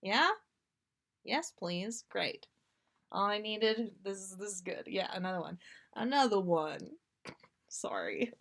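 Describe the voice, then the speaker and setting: A woman's voice: mid-range voice, lively intonation, slow, creaky, long pauses, a bit bright. A woman podcast host chatting casually on a livestream, giggly and self-deprecating between story readings.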